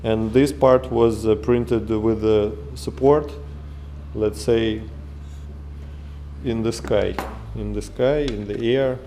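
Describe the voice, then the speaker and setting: Russian guy vlogging from his workshop, slow and mellow, lots of uhs, little background hum. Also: fairly low pitch, fairly even intonation. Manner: halting non-native English with many uh fillers and restarts. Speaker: maker vlogger. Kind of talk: casual workshop vlog. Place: home workshop room, indoors. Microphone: action camera held or mounted close.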